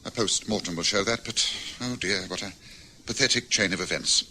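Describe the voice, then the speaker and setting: A calm English detective, measured and grave, bright voice, faint old radio hiss. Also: male voice, fairly low pitch. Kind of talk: radio drama acting.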